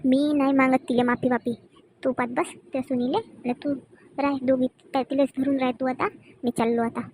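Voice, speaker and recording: A woman plays a hot-headed young cartoon son with a high, bright-edgeless, mellow voice. He whines and repeats himself, with little swing in pitch. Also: level tone, normal speed, slight background noise, rough recording. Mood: sulky, defiant. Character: hot-headed drunk son, sulky then pleading. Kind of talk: amateur cartoon comedy dubbing.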